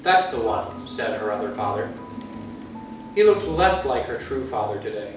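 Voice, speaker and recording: A man reading a children's story aloud as narrator, with measured, expressive phrasing. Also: on the low side. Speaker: storytime reader. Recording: echoey room, far from mic, music.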